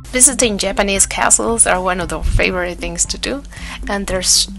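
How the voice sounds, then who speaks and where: Woman, travel vlog voice-over, gravelly, mid pitch, plain and unhurried, music behind. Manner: plain and unhurried, with small slips of the tongue. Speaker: travel vlogger. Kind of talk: voice-over narration.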